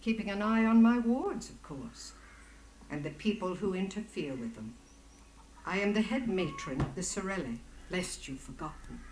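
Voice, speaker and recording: An actress playing a calculating head matron in a video game, speaking with cool, formal deliberation. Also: female voice, medium pitch, expressive, normal speed, darkish tone, reverberant, a little hiss or hum, close to an everyday voice, poor audio. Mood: coolly watchful. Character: poised, calculating female head matron of a powerful order. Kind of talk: video game dramatic dialogue.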